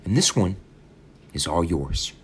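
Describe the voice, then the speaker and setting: A man's voice: deep voice, big pitch swings, unhurried, husky, exaggerated voice. A man voicing a fussy, overly sweet older landlady who coos and gushes at her guest. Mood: cooing. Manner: fussy, cooing and gushing.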